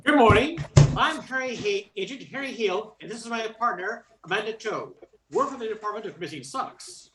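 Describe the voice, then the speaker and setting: A woman's voice: fairly high pitch, animated, moderate pace, echoey room, natural voice. An older woman playing an eager, earnest agent in a comic radio play, emphatic and mock-serious. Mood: brisk, official. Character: eager, earnest agent on a trivial household case.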